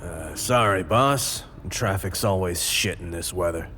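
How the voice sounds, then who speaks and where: A man's voice: sits low, measured pace, pushed and bright, big-room sound, a little hiss or hum. An actor playing a deferential, obedient henchman in an audio crime drama, talking casually to his boss.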